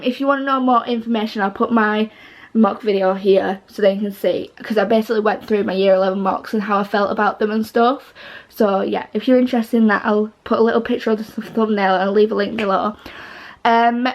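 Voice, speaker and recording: A teenage girl talking casually to her camera, her voice pitched a touch high and a little croaky. She rambles along with plenty of fillers and self-corrections. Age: teens. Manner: chatty, rambling with many fillers and restarts. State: slightly croaky voice. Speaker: vlogger.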